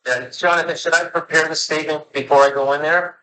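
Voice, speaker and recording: A man speaking casually on a recorded phone call in a halting way, repeating words as he goes. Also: fairly high pitch, steady tone, sharp tone. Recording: noisy phone call with ambient sound.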